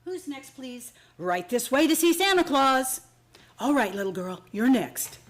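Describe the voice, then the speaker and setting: A woman's voice: high voice, expressive, unhurried. A woman playing an officious, easily flustered department store employee in a radio play. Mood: brisk.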